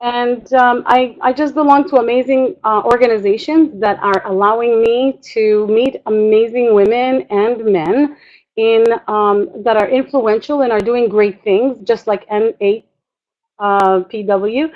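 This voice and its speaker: Middle-aged female coach from Canada, higher-pitched, enthusiastic informal webinar delivery, muffled lo-fi audio. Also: fairly even intonation. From Montreal, Canada; born in Israel. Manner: enthusiastic, chatty, halting with self-interruptions. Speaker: business coach and public speaker. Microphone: online webinar audio. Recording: dull lo-fi web conference audio.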